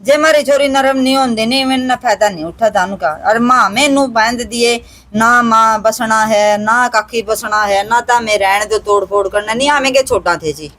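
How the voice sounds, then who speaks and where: A woman's voice: a little high, normal speed, a bit bright. A woman with a Haryanvi accent giving unscripted interview testimony in an emphatic, rustic way, repeating her phrases. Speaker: aggrieved relative being interviewed.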